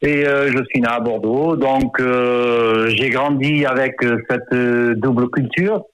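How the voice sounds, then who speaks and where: A man's voice: mid-range voice, steady tone, slow, a bit bright, no echo. A man in his fifties, an author born in France, talking in a radio interview and hesitating often, with many fillers and repeated words. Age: 50s.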